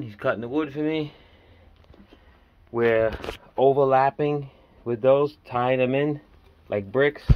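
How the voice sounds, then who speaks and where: A man's voice: medium pitch, lo-fi sound. A middle-aged man filming his own home building project on his phone outdoors, giving a casual, rambling walkthrough. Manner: plainspoken, rambling, working-man talk. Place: outdoors at a house, in a dug-out basement stairwell. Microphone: handheld phone camera.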